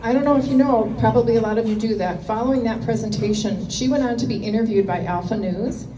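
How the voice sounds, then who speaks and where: A woman host introduces a guest speaker in a measured, read-aloud way, her voice at a middle pitch. The sound is distant and roomy, with steady background noise clearly audible. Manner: measured, reading a prepared introduction. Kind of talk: formal introduction read to an audience. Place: meeting room with an audience.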